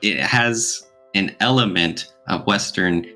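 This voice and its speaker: A man's voice: low-pitched, slow pace, pushed and bright. A man co-hosting a casual podcast discussion, explaining his points and posing questions as he goes. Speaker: podcast co-host. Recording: music playing behind the voice. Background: background music.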